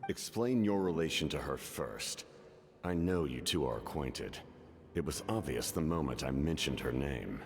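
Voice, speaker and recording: A very deep, gravelly, commanding villain. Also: male voice, lively intonation, long reverb tail. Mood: stern, probing. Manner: measured, guarded, formal, imposing. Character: cunning, cold, commanding man, a wounded Fatui officer. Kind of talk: dramatic video game voice acting.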